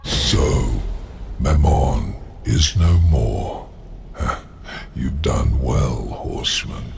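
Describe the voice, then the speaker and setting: Deep, gravelly demon lord. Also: male voice, darkish tone, soft background music, heavily acted. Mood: sly, gloating. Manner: measured, formal, insinuating. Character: sly, deep-voiced demon lord, calculating and menacing. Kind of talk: dramatic video game cutscene acting.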